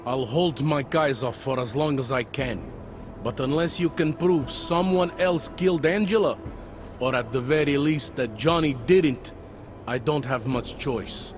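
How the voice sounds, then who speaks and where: An actor playing a weary, self-deprecating older cop near retirement in a video game, talking in a wry, conversational way. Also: male voice, medium pitch, a little slow, lightly acted. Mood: uneasy, confiding. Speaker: police officer. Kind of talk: video game cutscene dialogue. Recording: background music under dialogue.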